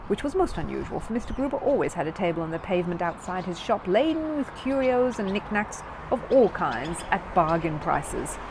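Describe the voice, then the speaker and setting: Low-pitched, animated woman reading a story. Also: noisy background. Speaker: storyteller reading a children's book aloud. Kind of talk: scripted narration, children's audiobook.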